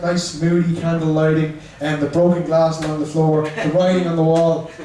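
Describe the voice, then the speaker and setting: A man's voice: on the high side, a little mellow, slight background noise. A young man with a Dublin accent doing a chatty, self-mocking stand-up set in a small bar, teasing the crowd. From Ireland. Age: young adult. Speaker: stand-up comedian. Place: small bar comedy room. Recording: echoey room, mic far off.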